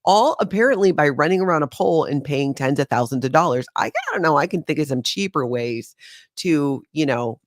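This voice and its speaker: A middle-aged woman from Hawaii hosting a casual livestream, talking in a chatty, animated way with incredulous asides. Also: sits low, brisk, dry recording, studio quality. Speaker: channel host.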